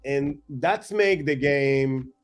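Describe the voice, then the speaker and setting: A man chatting as a guest, excitable and rambling, self-mocking, with non-native English phrasing. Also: mid-range voice, very slow, faint music behind. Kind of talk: casual chat.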